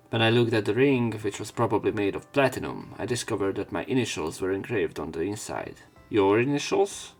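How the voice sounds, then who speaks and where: A man with a fairly low voice reads a visual novel's lines aloud in a casual let's-play manner and drops jokey asides between lines. Game music plays faintly behind him. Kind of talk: reading visual novel text aloud with commentary.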